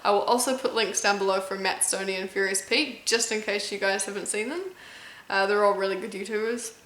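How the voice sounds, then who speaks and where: Brief: female vlogger with a blend of New Zealand and American accent. Mid-pitched, chatty, lots of "um"s. Recorded in a room with clear echo. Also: fairly even intonation, a little fast, a bit bright. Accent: mix of New Zealand and American. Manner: chatty, stops often with "um" and stumbles over names. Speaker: competitive eater and YouTuber. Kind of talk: casual Q&A vlog.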